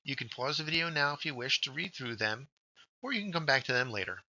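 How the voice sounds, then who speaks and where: A man's voice: mid-range voice, animated, fast talker, pushed and bright, no room sound, lo-fi sound. A man giving scripted instructional narration as a workshop instructor, guiding learners step by step and addressing them directly.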